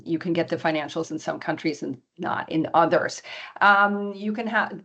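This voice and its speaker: A hoarse woman giving a webinar presentation over a video call, often clearing her throat and using many fillers. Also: fairly low pitch. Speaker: export presenter.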